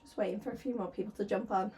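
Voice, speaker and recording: A woman's voice: mid-range voice, steady tone, racing, dark tone. A woman with a sore throat, chatting and giggling nervously as she streams herself painting. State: sore throat, slightly hoarse. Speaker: artist streaming a painting session. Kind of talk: casual livestream chat. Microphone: desk microphone.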